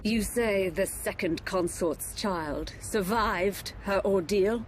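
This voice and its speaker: An actress playing a haughty, scheming royal consort in a video game, imperious and disdainful. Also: female voice, medium pitch, takes it slowly, a little hiss or hum, close to an everyday voice. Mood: cold, menacing. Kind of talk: video game cutscene acting.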